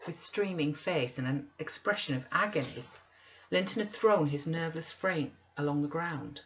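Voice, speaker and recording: A woman's voice: sits low, normal speed, fairly bright tone, muffled recording, a little hiss or hum. A woman narrating a literary audiobook from a script. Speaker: audiobook reader.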